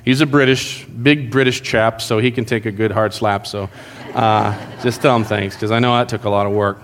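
A man's voice: on the low side, steady tone, moderate pace, long reverb tail. A pastor speaking to his congregation in a casual, joking sermon style. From South America. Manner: jokey, conversational, addresses congregation directly. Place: church sanctuary.

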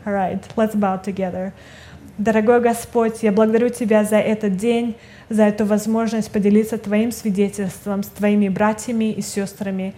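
A woman's voice: mid-range voice, little pitch movement, a little fast, mellow. Earnest woman, native Russian speaker. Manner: earnest, heartfelt. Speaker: guest speaker, ministry staff. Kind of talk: church testimony talk. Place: church stage before a congregation.

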